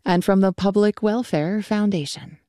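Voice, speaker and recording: Female announcer, dark and mellow, dry studio sound, measured credit reading. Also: mid-range voice, no echo. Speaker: radio announcer. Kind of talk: read sponsor credits.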